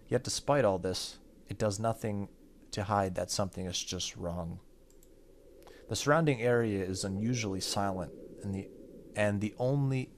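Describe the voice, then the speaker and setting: A man hosting a gaming video, reading visual novel narration aloud and now and then stumbling and rereading. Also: lowish voice. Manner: stumbles over text and rereads. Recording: faint background noise.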